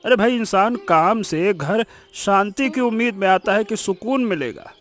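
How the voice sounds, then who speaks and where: Man playing a very high-pitched, weary farmer husband. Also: lively intonation, moderate pace, a bit bright, faint music behind. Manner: pleading, exasperated. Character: weary, exasperated village farmer husband caught between quarrels. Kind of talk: melodramatic animated story acting.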